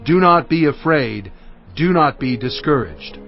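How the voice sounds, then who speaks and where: Earnest biblical leader, oratorical, lively intonation, music underneath, scripture drama. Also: male voice, on the high side, measured pace. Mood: exhorting. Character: Moses, fatherly, earnest leader exhorting his people. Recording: dull sound with music underneath.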